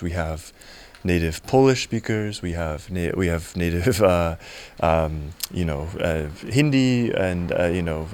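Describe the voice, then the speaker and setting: Hesitant low-voiced man, native English speaker. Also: darkish tone, clean tone. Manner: hesitant, many fillers, drifts into English. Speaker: music teacher. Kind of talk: casual interview answers.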